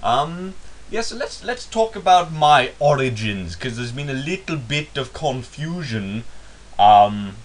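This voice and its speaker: A man's voice: medium pitch, very animated, a little slow. A young English man talking in a put-on Indian English accent, rambling and self-mocking in a casual vlog monologue. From England. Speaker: YouTuber.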